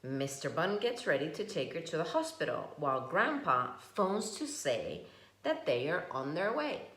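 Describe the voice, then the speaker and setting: A woman reading a picture book aloud to young children, slow and expressive, stopping to ask questions. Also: low-pitched. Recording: echoey room.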